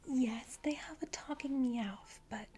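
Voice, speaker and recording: A woman plays a self-important female villain's grunt in an ASMR roleplay. She whispers breathily into a close mic at a slow pace, confiding and a little hesitant, with music underneath. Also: sits high, mellow. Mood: confiding, dismissive. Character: boastful, eager young female criminal henchwoman. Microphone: close microphone.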